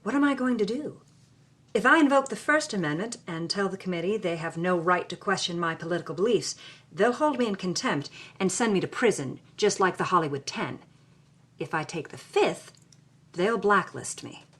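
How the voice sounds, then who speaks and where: A woman's voice: fairly low pitch, lively intonation, moderate pace, fairly bright tone, slightly rough. A woman playing a sardonic, troubled 1940s Hollywood writer, reading her journal with theatrical expression. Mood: anguished, defiant. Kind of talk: dramatic first-person reading of journal.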